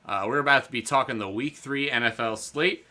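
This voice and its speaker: This is a man with a very bright, forward tone, co-hosting a sports betting chat. His talk is loose and rambling, full of fillers and restarts. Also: mid-range voice, a little fast. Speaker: podcast co-host.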